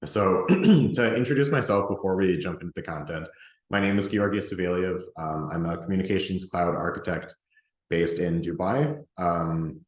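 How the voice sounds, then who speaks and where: Very deep-voiced man presenting a webinar. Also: moderate pace, roomy sound, poor audio. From Russia, grew up in the US. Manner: relaxed, conversational, many ums and restarts. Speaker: presenter and group organizer.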